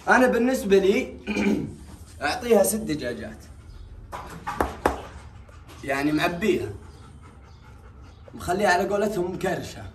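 A young Saudi-accented man talks casually and instructively to a camera a few steps away. His voice sits a little high. The sound is distant and strongly echoey, as in a small bare tiled room. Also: expressive, normal speed, pauses often, a little hiss or hum. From Saudi Arabia. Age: young adult. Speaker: big cat owner and vlogger. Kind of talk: casual explanatory vlog talk.

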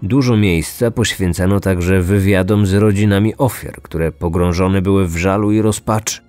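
A man's voice: sits low, fairly even intonation, moderate pace, a little mellow, dry recording. A man hosting a true-crime podcast, reading a scripted narration. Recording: music bed behind the voice.

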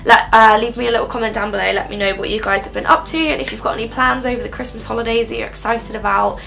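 A woman's voice: a little high, fairly even intonation, fast, creaky, sharp tone, low-quality recording. A young woman chatting through a makeup tutorial in her bedroom, rambling casually with lots of "um", a little nasal from a cold. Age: young adult. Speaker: beauty vlogger. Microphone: camera in front at close range.

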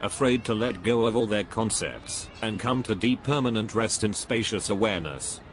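A man's voice: on the low side, moderate pace, slight background noise, lightly acted. A man playing a smug, joyless spiritual know-it-all who preaches in a pompous, dismissive way in scripted cartoon dialogue. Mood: grandiose, preachy.

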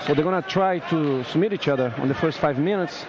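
A man commentating a grappling match as guest, explaining moves calmly with frequent fillers. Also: on the high side, fast talker. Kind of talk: live fight commentary. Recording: noisy arena with crowd.

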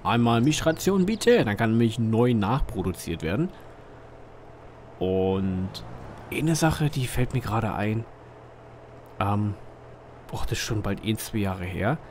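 A man's voice: lowish voice, animated, slow, pauses often, a little mellow, slight background noise. A man with a Berlin dialect, casually commenting on a farming game as the channel's host, rambling and thinking aloud with lots of fillers.

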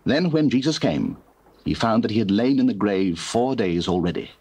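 A man with a British accent reading dramatized scripture as narrator, measured and solemn. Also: fairly low pitch, animated, a little mellow, breathy edge. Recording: hissy old cassette recording.

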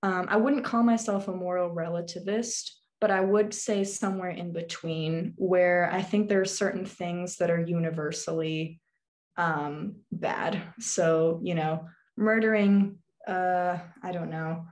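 Teen girl guest, low-ish voice, hesitant and reflective, webcam sound from a small bedroom. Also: steady tone, measured pace, a little mellow. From England, also Turkey, Azerbaijan and Alaska. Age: teens. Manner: thoughtful, hesitant with many ums. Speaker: guest, witchcraft YouTuber. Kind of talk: casual interview answers. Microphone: video call webcam.

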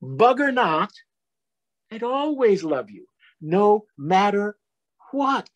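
Storybook parent fox, played by a man: gentle, reassuring, slow, soothing, lifted pitch with big swings. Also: big pitch swings, highs cut off, heavily acted. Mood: loving, reassuring.

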